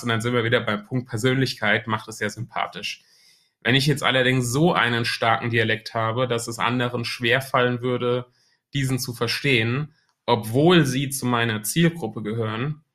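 A man's voice: mid-range voice, a bit bright. A man with a Hessian accent, a podcast guest talking about marketing in a thoughtful way with many filler sounds. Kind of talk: casual podcast interview.

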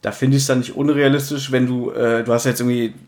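A man's voice: medium pitch, level tone, fast, darkish tone. A man co-hosting a podcast in casual, rambling banter with a teasing, mock-serious tone. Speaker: podcast co-host. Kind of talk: casual banter about audio dramas.

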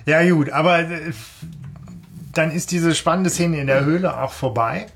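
A man's voice: on the high side, normal speed, creaky, fairly bright tone, soft background music. A man retelling a story in casual podcast chat, colloquial and wry. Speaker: podcast host.

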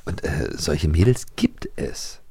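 A man's voice: very deep voice, very animated, slow, vocal fry, dark tone, breathy edge. A middle-aged man co-hosting a podcast about audio dramas, chatting casually, agreeing readily and rambling through his thoughts. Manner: agreeable, rambling, many restarts. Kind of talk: casual chat.

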